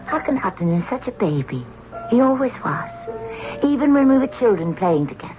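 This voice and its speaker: A woman's voice: on the high side, sing-song, a little fast. An actress playing a proud, inquisitive young noblewoman of ancient Egypt, theatrical and probing in the manner of 1970s radio drama. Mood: disdainful. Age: young adult. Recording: muffled old radio recording with music.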